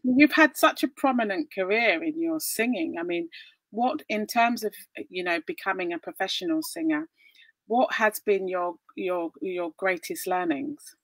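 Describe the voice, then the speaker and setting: A young adult woman hosting a video call interview from home, bubbly and quick to laugh and exclaim. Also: fairly low pitch, dry recording, low-quality recording. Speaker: host. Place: home room with bookshelves. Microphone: laptop webcam on video call.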